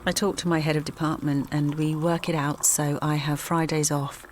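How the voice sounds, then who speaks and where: A woman's voice: low voice, flat delivery, normal speed, slight background noise, lightly acted. An actress playing a weary mother and protest organiser with an English accent, narrating confidingly in first person for an audio drama. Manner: confiding, present-tense storytelling.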